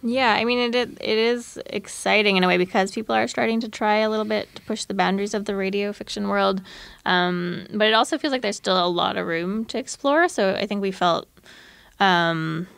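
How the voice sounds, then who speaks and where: A woman's voice: mid-range voice, brisk, creaky, fairly bright tone, clean tone, no room sound. A woman being interviewed, talking informally and tentatively about her work, often correcting herself. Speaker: interview guest, audio fiction producer. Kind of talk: casual interview chat.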